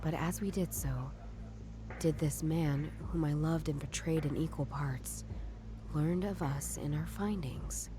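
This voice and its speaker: An actress playing a wry female detective working alone in a noir visual novel, with a dry, deliberate delivery. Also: low voice. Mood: solemn, reading aloud. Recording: game music playing behind the voice.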